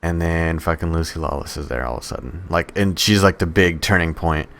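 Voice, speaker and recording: A man's voice: sits low, a little fast, a bit bright. A man co-hosting a podcast, chatting casually about films in profane, slangy language. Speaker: podcast co-host.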